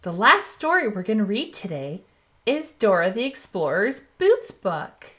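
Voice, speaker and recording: A woman's voice: medium pitch, sing-song, unhurried, fairly bright tone. A woman hosting a children's story time, speaking directly and encouragingly to young listeners as she introduces picture books. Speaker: children's story reader, host. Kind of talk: read-aloud for young children.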